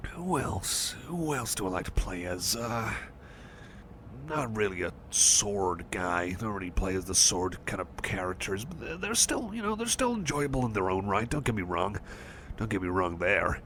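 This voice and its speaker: A man's voice: on the low side, animated, unhurried, fairly bright tone, slight background noise. A man playing a shy, friendly cyborg chum in an ASMR roleplay, hesitant and rambling, often apologising and repeating himself. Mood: chatty, apologetic. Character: shy, friendly male cyborg chum, eager but self-doubting. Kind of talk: ASMR personal-attention roleplay, soft one-sided conversation.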